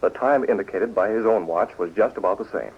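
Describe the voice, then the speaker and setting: An actor playing an assured, shrewd crimefighter in a 1940s radio drama. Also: male voice, on the low side, a little fast, breathy edge. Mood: explaining. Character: assured, shrewd male masked crimefighter and publisher. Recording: dull 1940s radio recording.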